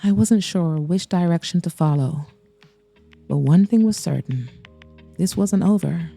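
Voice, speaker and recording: A woman podcast host and narrator reading romance fiction in a dramatic, deliberate way. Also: on the low side, creaky, dark tone, slightly rough, soft background music. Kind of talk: first-person romance audiobook narration.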